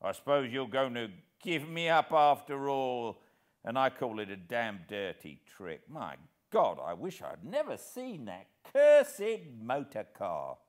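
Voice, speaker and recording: A rough, down-and-out tramp who whines and grumbles loudly, his voice jumping up high as he pleads, as a male narrator acts him out. The delivery is surly and ragged, with wide swings in pitch. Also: wildly swooping pitch, slow, heavily acted. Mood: surly pleading. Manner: surly, loud, beggar's whine. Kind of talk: audiobook character voice.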